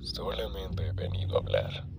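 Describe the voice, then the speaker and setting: A man with a Latin American Spanish accent voicing a sinister, taunting monster in an animated horror fandub, with breathy, drawn-out menace. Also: sits low, over loud music, exaggerated voice.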